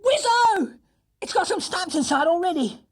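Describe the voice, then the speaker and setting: An excitable storybook schoolboy pipes up in a very high voice. He is eager and exclamatory, with big swoops. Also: normal speed. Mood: thrilled.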